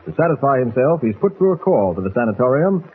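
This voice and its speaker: Animated vintage radio narrator, a man. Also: mid-range voice, fast, a little hiss or hum. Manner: suspenseful, theatrical. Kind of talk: 1940s dramatic scripted narration. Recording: tinny, muffled 1940s radio recording.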